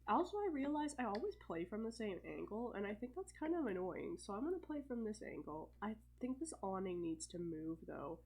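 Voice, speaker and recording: A young woman with a stuffed-up cold, casually commentating her gameplay in a chatty, think-aloud way with fillers and soft laughs. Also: mid-range voice, sing-song, a little fast, few pauses, a little hiss or hum. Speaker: let's play host.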